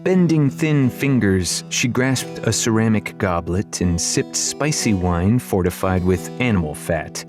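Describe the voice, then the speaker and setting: A man's voice: on the low side, animated, unhurried, hardly any pauses, music in the background. A man reading scripted fantasy fiction as an audiobook narrator.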